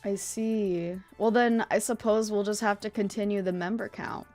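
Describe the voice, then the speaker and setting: A woman's voice: medium pitch, fairly even intonation, normal speed, a little mellow, soft background music. A woman livestreamer chatting casually with her viewers in a bubbly, chatty manner. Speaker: livestreamer, virtual streamer host.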